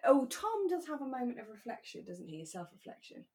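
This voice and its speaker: A woman co-hosting a podcast in relaxed, casual chat, talking in a candid, conversational way. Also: high voice, fairly quick. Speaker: podcast co-host. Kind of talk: relaxed casual chat about a radio drama.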